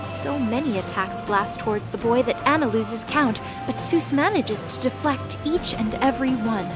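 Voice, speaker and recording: High-pitched woman narrating a drama. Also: normal speed, music in the background, poor audio. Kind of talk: scripted dramatic story narration.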